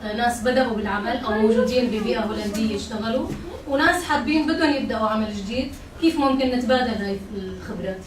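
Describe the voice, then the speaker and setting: A woman's voice: fairly high pitch, very fast, few pauses. A woman from Syria, a native Arabic speaker with a Syrian accent, talking spontaneously to a group as a co-organiser. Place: echoey room at a group event. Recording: echoey, distant mic, clear background noise.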